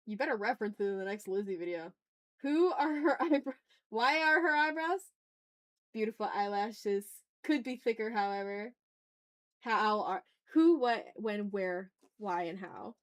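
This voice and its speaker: A woman hosting a casual drawing livestream, playfully chatting with viewers and thinking aloud as she works. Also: mid-range voice. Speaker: art livestream host.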